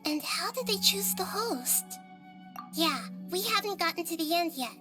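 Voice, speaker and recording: A woman voicing a wise, composed young girl deity who reasons in a measured way in a video game. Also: extremely high pitch, animated, music in the background. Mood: thoughtful.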